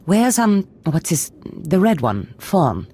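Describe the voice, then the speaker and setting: A woman's voice: lowish voice, big pitch swings, slow, mellow, breathy edge, exaggerated voice. An actress voicing a scheming female Sith lord who speaks in a languid, commanding way. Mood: imperious. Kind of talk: game voice acting.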